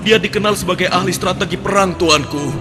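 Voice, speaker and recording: A man's voice: high-pitched, a little fast, music in the background, natural voice. A man playing a humble, deferential soldier in a cartoon, speaking respectfully and earnestly to his superiors. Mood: apologetic, urgent. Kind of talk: Indonesian cartoon voice acting.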